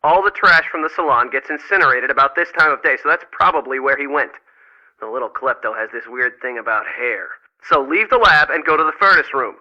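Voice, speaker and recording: An actor playing a bossy, irritable and secretly crooked spa manager in a video game, curt and sarcastic. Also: male voice, mid-range voice, animated, brisk, bright, forceful tone, no room sound, lo-fi sound. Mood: impatient, barking. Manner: curt, sarcastic, barking orders.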